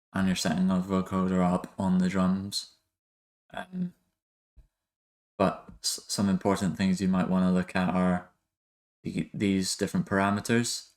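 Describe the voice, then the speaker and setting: Low-pitched, monotone man giving a tutorial. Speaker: music production tutorial host. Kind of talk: casual software tutorial narration.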